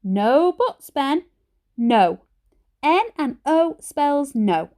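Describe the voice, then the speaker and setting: A woman's voice: high-pitched, very animated, drawn-out words, darkish tone, voice pushed up, exaggerated voice. A woman voicing a stern but fond old granny in a storybook, with an emphatic, scolding manner. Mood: firm, exasperated.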